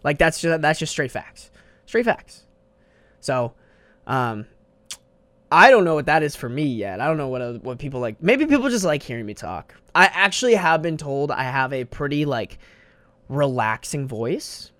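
A man's voice: on the high side, big pitch swings, moderate pace, a bit bright, no echo. A young man hosting his own podcast alone, rambling casually in slang with plenty of swearing, on a desk mic. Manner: rambling, slangy and profane, says bro a lot. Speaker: podcast host. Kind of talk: casual solo podcast monologue. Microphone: studio mic on a desk.

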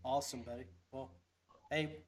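A man's voice: mid-range voice, steady tone, moderate pace. A man hosting a podcast, chatting casually and telling stories in a folksy, rambling way. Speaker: podcast host. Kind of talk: casual fishing podcast chat.

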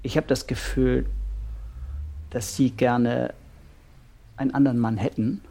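Deliberate, gravelly male couples therapist. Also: mid-range voice, little pitch movement, warm tone. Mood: measured, frank. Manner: deliberate, pausing, directive. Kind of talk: German audio drama, naturalistic dialogue.

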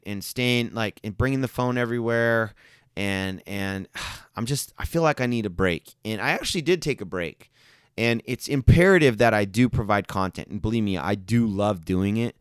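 A man's voice: on the low side, a bit bright, clean tone, dry recording, studio quality. A young man hosting his own podcast, chatting casually and rambling with lots of fillers, restarts and slang. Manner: rambling, laid-back, many fillers and restarts; slangy, says man and guys. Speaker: podcast host. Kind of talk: casual solo podcast chat.

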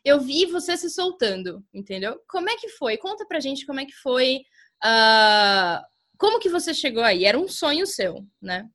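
A woman's voice: high voice, sing-song, normal speed, fairly bright tone. A woman with a Brazilian accent hosting a casual chat podcast in an informal, playful, teasing way. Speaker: podcast host.

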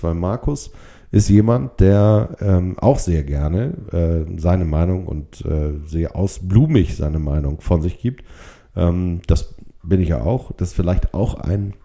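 A middle-aged or older man hosting a solo podcast, speaking reflectively and haltingly, with short blocks and pauses from a stutter. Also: on the low side, vocal fry, warm tone. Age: 50s or older. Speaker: podcast host.

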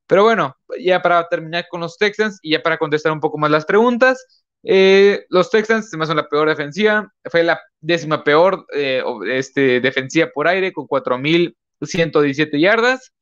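A man's voice: a little high, normal speed, fairly bright tone. Rambling man, lo-fi. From northern Mexico. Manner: rambling, many fillers and self-corrections. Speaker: podcast host. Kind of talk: casual live-stream talk.

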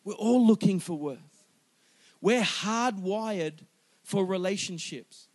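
High-voiced animated male preacher. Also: takes it slowly. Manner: animated, emphatic, joking with the congregation. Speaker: pastor. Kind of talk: sermon.

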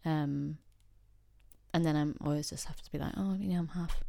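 A young woman from England, talking casually and wryly with many pauses and fillers. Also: low voice, steady tone, creaky, dark tone, no room sound, studio quality. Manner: reflective, wry, lots of fillers and quoted voices. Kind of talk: casual conversation.

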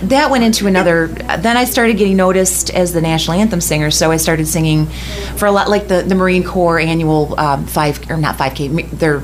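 A woman's voice: lowish voice, brisk. A middle-aged woman from West Michigan, a radio host telling a long story in casual chat, often laughing and restarting her sentences.

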